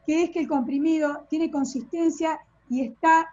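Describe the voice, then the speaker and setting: A woman's voice: high voice, fairly even intonation, normal speed, a little mellow. A woman with an Argentine accent patiently explaining medication details in a video call. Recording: dull lo-fi video call audio.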